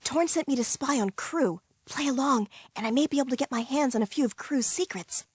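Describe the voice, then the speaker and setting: A young woman playing a perky undercover spy in a video game, speaking in a hushed, conspiratorial way. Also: high voice, brisk, slightly rough, no echo, studio quality. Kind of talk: video game cutscene acting.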